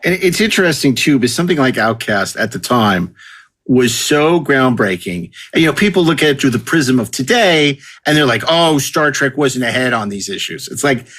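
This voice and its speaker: Conversational man with a mid-pitched voice. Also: big pitch swings, moderate pace, fairly bright tone. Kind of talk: casual panel discussion.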